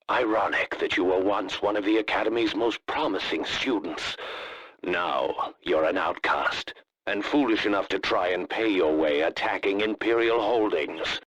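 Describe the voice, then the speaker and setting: An actor playing a cold, condescending alien Imperial officer in a video game, speaking in a measured, formal way with a husky voice. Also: male voice, deep voice, a bit bright, no room sound, low-quality recording. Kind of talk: scripted video game acting.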